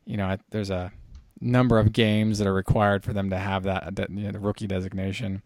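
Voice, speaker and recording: A man's voice: on the low side, level tone, fairly quick, darkish tone, no room sound, clean studio sound. A man hosting a casual hobby podcast, talking in a conversational, explanatory way. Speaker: podcast host.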